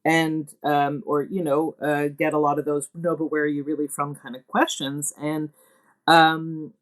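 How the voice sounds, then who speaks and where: A woman's voice: sits low, little pitch movement, unhurried. A woman interviewed as a theatre artist, reflective and hesitant, working through her thoughts as she speaks. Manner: hesitant, deliberating, self-questioning.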